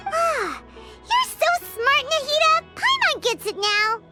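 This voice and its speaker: A chirpy little floating fairy girl who speaks of herself in the third person. Her voice sits extremely high and leaps wildly up and down, and she talks at an unhurried pace. Loud game music plays beneath her. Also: sing-song, full character voice. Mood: cheerful. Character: tiny floating fairy girl companion, excitable. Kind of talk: video game dialogue.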